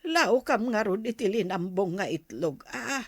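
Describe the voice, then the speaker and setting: A woman's voice: medium pitch, expressive, a little slow, husky, dry recording, studio quality. A woman playing a frail, forgetful elderly mother who complains plaintively, in a radio drama. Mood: complaining. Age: older.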